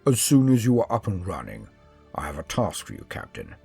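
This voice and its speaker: A man's voice: sits low, wildly swooping pitch, fairly quick, creaky, dark tone, husky, soft background music, full character voice. A man voicing a grizzled giant warrior commander in a sci-fi audio story, solemn, portentous and commanding. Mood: grave, commanding. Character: grizzled giant Space Marine chapter lord, grave and commanding. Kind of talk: audiobook character acting.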